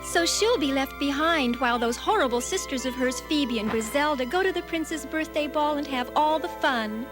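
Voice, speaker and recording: A woman's voice: sits high, moderate pace, hardly any pauses, over music, natural voice. A woman voicing a small female animal friend in a cartoon fairy tale, protective, fretful and indignant on others' behalf. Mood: sympathetic. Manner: fretful, sympathetic, opinionated.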